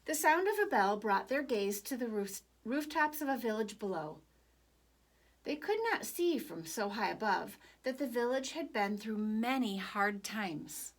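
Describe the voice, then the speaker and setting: A woman narrating a picture book for children at storytime, deliberate and expressive, pausing between phrases. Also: a little high.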